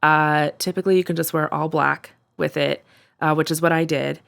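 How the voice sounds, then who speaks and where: Low-voiced chatty woman podcaster. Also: fairly even intonation, normal speed, gravelly, fairly bright tone, clean tone. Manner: chatty, lots of likes and ums. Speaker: podcast co-host. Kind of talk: casual chat.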